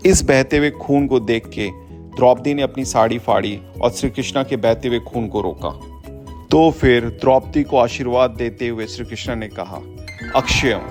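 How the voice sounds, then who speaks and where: A man plays a father telling mythological tales to his child in a children's story video. He explains things in simple words, at an average pitch, with music playing behind him. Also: lightly acted.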